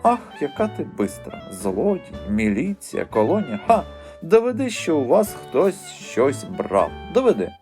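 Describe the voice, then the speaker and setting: A man's voice: mid-range voice, wildly swooping pitch, moderate pace, a little mellow, soft background music, pitched above the performer's own voice. A man voicing a sly, mocking teenage street-gang boy in an audiobook, sneering with fake politeness and swaggering slang. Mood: taunting.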